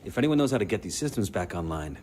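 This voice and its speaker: An actor playing a weary, sardonic comms coordinator in a video game, briefing in a blunt, informal way. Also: male voice, deep voice, sing-song, fast talker, darkish tone, a little hiss or hum. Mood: wry, urgent. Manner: blunt, informal, foul-mouthed. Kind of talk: video game cutscene acting.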